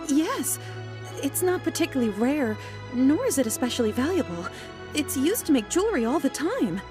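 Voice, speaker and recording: An actress playing a modest, knowledgeable gem appraiser in a game who explains in a measured way. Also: female voice, high voice. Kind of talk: game voice acting. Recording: studio voice over game music.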